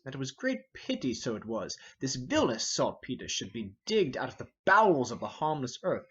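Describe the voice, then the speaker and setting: A man's voice: sits high, slightly rough, muffled recording, pitched above the performer's own voice, heavily acted. A man playing a hot-headed, indignant young warrior nobleman in Shakespearean verse, impetuous, scornful and declamatory. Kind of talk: Shakespearean verse drama reading.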